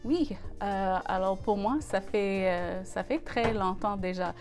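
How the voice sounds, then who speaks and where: A woman's voice: medium pitch, slow pace, a little mellow, faint music behind. A woman in her forties from Western Canada, a native English speaker with an English Canadian accent, speaking French in an interview with many hesitations. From Saskatchewan, Western Canada. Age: 40s. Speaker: gospel singer and guest. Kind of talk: casual interview.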